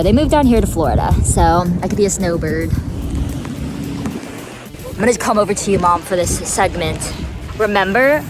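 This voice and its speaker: A woman in her twenties vlogging in a bubbly, chatty way, often saying "like" and "literally". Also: on the low side. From Georgia, United States. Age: 20s. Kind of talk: casual chat to camera. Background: music.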